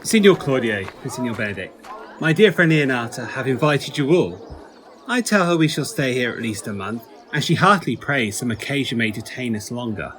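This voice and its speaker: A man's voice: mid-range voice, animated, fairly quick, fairly bright tone, slight background noise, lightly acted. A man playing a genial, courtly prince with a gracious, jovial manner in a Shakespearean radio play.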